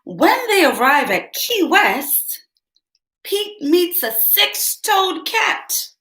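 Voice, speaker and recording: A woman's voice: very high-pitched, very animated, drawn-out words, fairly bright tone. A woman reading a picture book aloud to young children, turning to ask her listeners questions along the way. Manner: speaks directly to young listeners, asks them questions. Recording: roomy echo.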